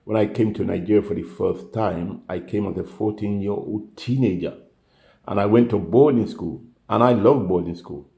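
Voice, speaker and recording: A man's voice: on the low side, normal speed, creaky, warm tone, reverberant. An older man, a retiree vlogger, chatting casually to camera, rambling and correcting himself as he goes. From United Kingdom.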